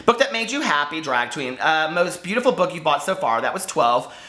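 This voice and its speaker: A man in his forties chatting casually and excitably to viewers as a book vlogger, gushing and a little campy. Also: on the high side, fairly quick. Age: 40s. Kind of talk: casual chat to viewers, book tag video.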